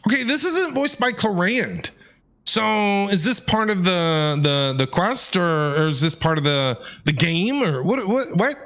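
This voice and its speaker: An excitable man comments casually on a game as he plays. His pitch moves around a lot as he thinks aloud and throws in interjections. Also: measured pace. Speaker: game streamer.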